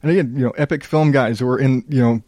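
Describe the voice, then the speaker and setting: A man in his thirties hosting his own podcast, rambling casually in a calm, smooth voice and poking fun at himself. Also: medium pitch, fast talker, no room sound, studio quality. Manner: rambling, self-deprecating, reads reviews aloud. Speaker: podcast host. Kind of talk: casual solo podcast monologue.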